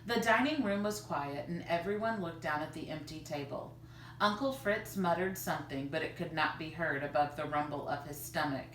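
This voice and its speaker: A bright-voiced woman reads a picture book aloud with expressive delivery. She sounds a little distant from the microphone, in an echoey room. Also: deep voice, normal speed. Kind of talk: expressive children's read-aloud. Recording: roomy, distant mic, faint noise.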